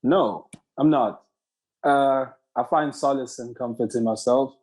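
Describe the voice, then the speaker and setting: A man in his early twenties, a panel guest answering reflectively with hesitant pauses. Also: medium pitch, darkish tone. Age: 20s. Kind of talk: casual group discussion.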